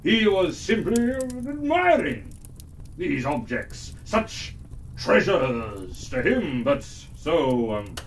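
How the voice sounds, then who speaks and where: A storyteller voicing a kindly old male wizard in a children's fairytale, calm and diplomatic, speaking in hesitant phrases. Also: medium pitch, far from the mic, a little hiss or hum, pitched below the performer's own voice. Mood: soothing, hesitant. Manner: halting, hesitant, with drawn-out pauses.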